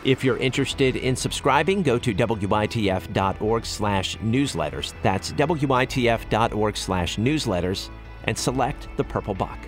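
An American man hosting radio news, reading scripted stories in a measured, explanatory way. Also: lowish voice, animated, over music.

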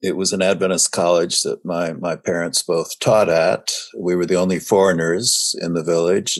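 An older man, a guest in an interview, recalling his early life in slow, reflective storytelling with many hesitations. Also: deep voice, creaky. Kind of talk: reminiscing in conversation.

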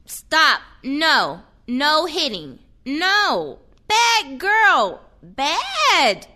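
Stern nurse for audio drama, played by a woman: bright, forceful, very animated, impatient and scolding. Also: sits high, extremely slow, heavily acted. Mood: scolding, angry. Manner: scolding, bossy, curt. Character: stern, impatient female care-home nurse. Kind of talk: audio play acting.

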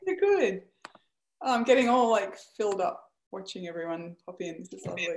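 A woman's voice: medium pitch, expressive, fairly quick, darkish tone. Effusive woman hosting a roomy video call. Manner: effusive, chatty, thinking aloud. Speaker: host of a community video call. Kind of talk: casual group video chat.

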